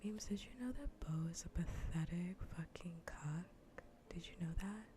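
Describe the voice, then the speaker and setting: A woman whispers softly and very slowly into a close ASMR microphone, her voice breathy and on the low side. She chats as a livestream host with a playful, teasing, mock-scolding manner. A faint noise sits behind her. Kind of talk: soft whispered ASMR gaming stream chat.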